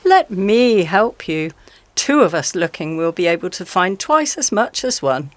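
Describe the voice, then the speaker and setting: A woman playing a sly, flattering predator animal, a fossa, coaxing with mock deference in a radio play. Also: fairly low pitch, unhurried, no echo. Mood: fawning, coaxing. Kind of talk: radio play character acting.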